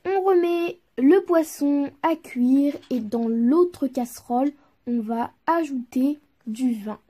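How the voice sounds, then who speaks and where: A woman with a fairly high, very mellow voice presents an amateur news show. She narrates step by step in a hesitant, halting way. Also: lively intonation.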